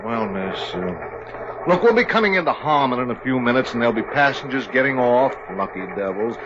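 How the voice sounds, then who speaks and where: An actor playing a long-suffering train conductor with dry sarcasm in a 1950s radio comedy. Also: male voice, medium pitch, sing-song, moderate pace, close to an everyday voice. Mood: weary. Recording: muffled noisy old radio transcription.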